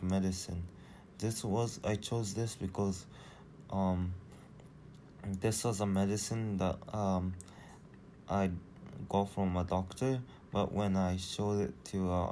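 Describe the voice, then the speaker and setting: Low, flat-voiced teenage boy presenting. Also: a little hiss or hum. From Japan. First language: Japanese. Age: teens. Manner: hesitant, many fillers and restarts. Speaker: student presenter. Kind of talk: school presentation.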